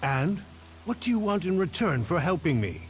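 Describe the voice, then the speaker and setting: An actor playing a wary, commanding resistance leader in a video game, with a stern, demanding and deliberate delivery. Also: male voice, high-pitched, big pitch swings. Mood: guarded.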